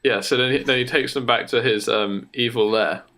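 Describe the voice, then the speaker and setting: A man co-hosting a podcast, casually improvising a story in a halting way, as if thinking aloud. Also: medium pitch, pushed and bright. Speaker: podcast co-host.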